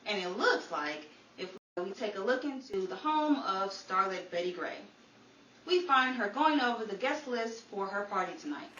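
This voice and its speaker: A woman's voice: mid-range voice, lively intonation, normal speed, muffled recording. A woman announcer reading scripted narration in the style of a 1940s radio programme. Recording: echoey, distant mic with faint noise.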